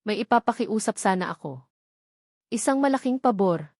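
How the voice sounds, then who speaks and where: A woman's voice: mid-range voice, animated, normal speed, a little mellow, dry recording, natural voice. An earnest young male doctor in a storybook drama, voiced by a woman narrator. He is determined and insistent, speaking like someone asking a serious favor. The recording is dry and close. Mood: earnest pleading. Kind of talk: storybook character dialogue.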